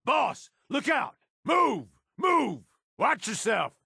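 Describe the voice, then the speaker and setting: Gravelly, cynical raider henchman. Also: very high-pitched, very animated, slow, bright, forceful tone, dry recording, clean studio sound, full character voice. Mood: combative. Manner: rough folksy slang, sardonic and menacing. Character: tough, cynical middle-aged male raider henchman. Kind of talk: video game character voice lines.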